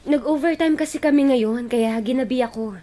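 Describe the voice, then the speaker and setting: A woman's voice: high voice, normal speed, lightly acted. A woman playing an earnest, hardworking young factory worker and wife in an emotional radio drama. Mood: indignant, distraught. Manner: expressive, emotional.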